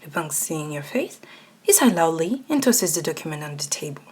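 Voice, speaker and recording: Husky woman narrating an asmr story in second person, lowish voice, super animated pitch. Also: fairly quick. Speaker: narrator and voice actor.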